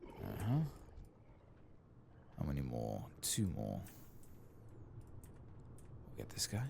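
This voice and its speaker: A man giving soft-spoken, whispered ASMR commentary over a game playthrough, murmuring casually as if to himself. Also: extremely low pitch, big pitch swings, slow pace, gravelly, noisy background. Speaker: gaming ASMR host.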